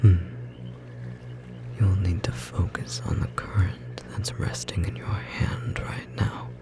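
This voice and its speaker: A performer voicing a tender magical bookstore clerk in an ASMR roleplay, whispering softly and gently encouraging a beloved partner, with a slightly rough edge. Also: sits low, fairly even intonation, slow, loud music behind the voice, full character voice. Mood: gentle, guiding. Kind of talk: ASMR roleplay, intimate whispered second-person acting.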